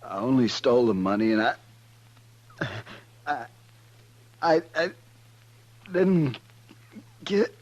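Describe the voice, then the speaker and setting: An actor playing a frightened, dying thief in a 1950s radio Western, weak and halting as he pleads. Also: mid-range voice, very animated, a little mellow. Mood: desperate, weak. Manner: halting, gasping, repeating words. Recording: dull old radio recording.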